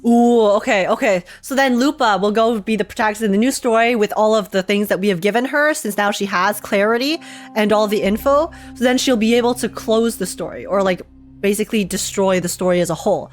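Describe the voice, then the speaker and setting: Casting: female game streamer, mid-pitched, chatty and excitable, casual slangy reactions with music underneath. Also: brisk. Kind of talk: casual gaming livestream commentary.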